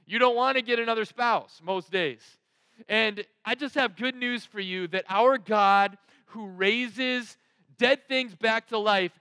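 A male pastor delivers a conversational sermon in a high, bright and forceful voice. He leans emphatically on key words and sets them apart with deliberate pauses. The sound is close and dry, from a headset microphone. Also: no echo. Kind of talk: sermon to an online congregation. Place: large dark auditorium stage, indoors.

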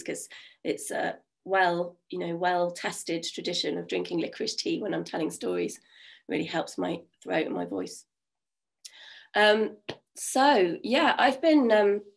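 A woman in her fifties with a croaky voice from a cold, telling folk stories in a chatty way on a video call from home. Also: fairly low pitch. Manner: warm, chatty, with many fillers. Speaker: storyteller. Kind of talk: oral storytelling. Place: home room. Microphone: video call webcam.

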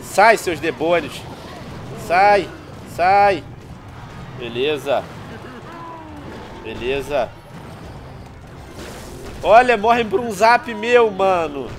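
A man's voice: sits high, very slow, long pauses, soft background music. A man in his thirties with a Brazilian Portuguese accent, stuffy from a cold, chatting to viewers in casual game commentary over a headset at home. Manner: chatty, addresses viewers constantly, excitable outbursts. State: congested with a cold. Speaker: gaming YouTuber. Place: small room at home, indoors.

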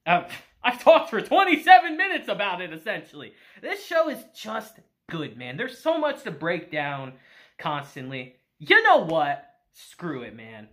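A man in his thirties reviewing anime on camera in a small room, animated and gushing, laughing often. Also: high-pitched, measured pace. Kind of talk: excited casual review.

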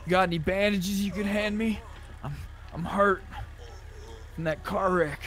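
A man's voice: high voice, measured pace, a bit bright. A man chatting casually in a roleplay game, with folksy phrasing and some mild swearing. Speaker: player in a roleplay game session. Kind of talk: casual in-character gaming chat. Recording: clear vehicle and game noise behind. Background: game ambience and car engine.

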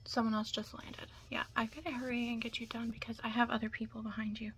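A young woman doing an ASMR roleplay in whispers and soft talk, chatting casually and reassuringly close to the mic. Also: fairly high pitch, flat delivery, fast talker, a little hiss or hum, rough recording. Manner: casual, chatty, reassuring makeup artist patter.

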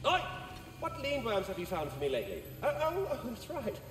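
An actor voicing a bitter, mocking, foul-mouthed squirrel spirit in a video game. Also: male voice, very high-pitched, wildly swooping pitch, brisk, reverberant, long reverb tail, recorded from a distance, music in the background, exaggerated voice. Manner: sneering, foul-mouthed, taunting.

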